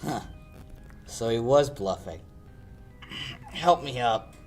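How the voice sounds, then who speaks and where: A young man acting a gruff, wisecracking detective in a 1940s radio play style, with clipped, wry delivery. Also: mid-range voice, expressive, slow pace, faint music behind. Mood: groggy, irritated. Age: young adult. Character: gruff, wisecracking male private sleuth. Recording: amateur radio play recording.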